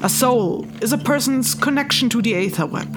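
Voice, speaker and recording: A woman's voice: fairly high pitch, lively intonation, normal speed, lightly acted. Woman acting an earnest, curious spirit healer. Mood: earnest explaining. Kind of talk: audio drama acting. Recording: noisy ambience behind the voice.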